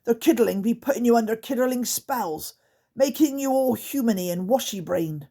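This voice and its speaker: A woman's voice: a little high, expressive, normal speed, dark tone, pitched above the performer's own voice. A woman voicing Grunt, a grumpy, bossy male night creature who barks his words in broken grammar. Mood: gruff.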